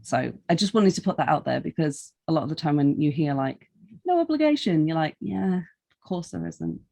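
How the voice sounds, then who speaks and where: Low-pitched woman chatting casually on a podcast. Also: sing-song, normal speed, gravelly, warm tone. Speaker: podcast interviewer. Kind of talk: casual, informal podcast chat.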